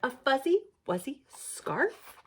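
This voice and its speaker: Woman voicing eager little Puffin, high and lively. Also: takes it slowly, slight background noise, heavily acted. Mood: eager bargaining. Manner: eager, wheedling short offers. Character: Puffin, pestering, eager little bird wanting a hat.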